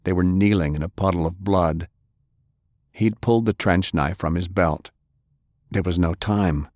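A man reading fiction as an audiobook narrator, dramatic and grave, with measured delivery. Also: sits low, darkish tone, no room sound, studio quality.

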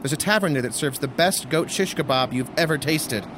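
A man's voice: fairly high pitch, brisk, close to an everyday voice. Man acting an earnest young Roman nobleman, noisy backdrop. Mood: commanding, cheerful. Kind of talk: full-cast audio drama acting.

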